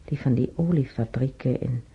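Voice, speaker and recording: Husky, gravelly old reminiscing man. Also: medium pitch. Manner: halting, searching memory, muttering to self. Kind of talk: radio drama monologue, halting reminiscence. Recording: muffled old radio drama recording.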